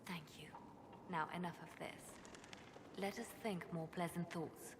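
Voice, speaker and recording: Actress as solemn game spymaster lady, sad and devout, lowish voice, noisy echoey hall. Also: steady tone, a little slow, natural voice. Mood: composed. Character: melancholy, devout female spymaster haunted by grief. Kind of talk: video game cutscene acting. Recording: echoey hall, loud background noise.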